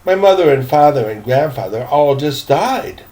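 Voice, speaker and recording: A man's voice: a little high, animated, moderate pace, warm tone, natural voice. An older man voicing a frank, polite, well-off boy in a storybook, with matter-of-fact manners. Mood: grim, stoic.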